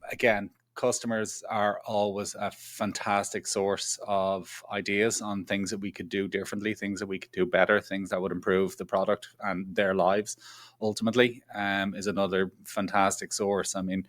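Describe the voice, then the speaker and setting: Low flat-voiced man, relaxed interview guest. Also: no echo. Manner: chatty, self-deprecating, repeats phrases. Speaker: guest, product executive. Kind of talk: conversational interview answers.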